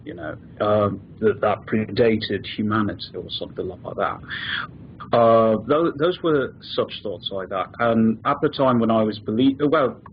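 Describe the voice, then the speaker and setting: A man's voice: lowish voice, unhurried, slight background noise. Hesitant man on a muffled line. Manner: hesitant, many fillers and restarts. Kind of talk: call-in discussion.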